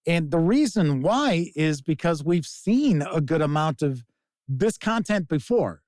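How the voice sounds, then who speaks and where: A man's voice: a little high, very animated, a little slow, a little mellow, dry recording. A man giving a casual spoken review in an emphatic, opinionated, conversational way, recorded on a close microphone. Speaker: game reviewer and host.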